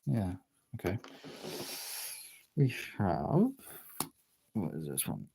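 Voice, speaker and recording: A low-pitched livestreamer chats casually while busy drawing, with lots of creak in the voice. The delivery is lively in pitch, rambling and self-deprecating, as if thinking aloud. There is faint noise and some clicking in the recording. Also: very slow, rough recording. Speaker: streamer.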